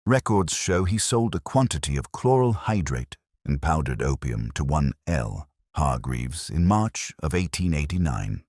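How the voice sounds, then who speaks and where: A man's voice: a bass voice, very animated, measured pace, hardly any pauses, a little mellow, dry recording, clean studio sound, full character voice. A man voicing a keen, commanding detective in an audiobook, precise, formal and theatrical in his phrasing. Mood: intent, precise.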